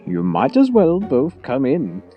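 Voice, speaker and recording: An actor voicing a sardonic, theatrical King of Chaos in an animated fan drama. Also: medium pitch, a little slow, muffled recording, faint music behind. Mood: wry. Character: sardonic male King of Chaos, blunt husband.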